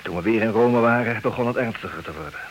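An actor playing a talkative betrayed husband in a Dutch comic radio play, theatrical and wry, wavering between agitation and resignation. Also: male voice, medium pitch, quick pace, natural voice. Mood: rueful. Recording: hissy old radio play recording.

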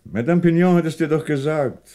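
An actor playing a shrewd, composed French police commissioner in a German radio crime drama, deliberate and dryly ironic. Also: male voice, on the high side, mellow, close to an everyday voice. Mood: calm, expository.

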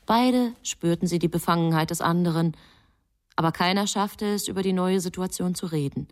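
A woman narrating a literary radio drama as a composed, measured storyteller. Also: on the low side, lightly acted. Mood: composed, reflective.

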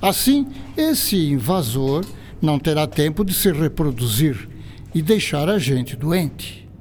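A man's voice: a little high, big pitch swings, takes it slowly, a little mellow, slight background noise. An actor playing a courteous, reflective male doctor and scientist with a didactic manner and a Brazilian accent in an educational radio drama. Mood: reflective, explanatory.

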